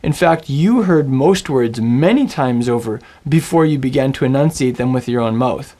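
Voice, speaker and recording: A man with a mid-pitched voice teaches in a scripted, storytelling way. He speaks slowly and deliberately, and he lets dramatic pauses hang between phrases. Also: expressive, warm tone. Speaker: Biblical Hebrew teacher.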